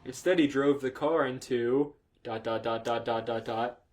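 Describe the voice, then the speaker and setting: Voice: medium pitch, steady tone, normal speed, darkish tone. A podcast host reading fan fiction aloud in a casual, comedic, irreverent and sweary way, prone to giggling.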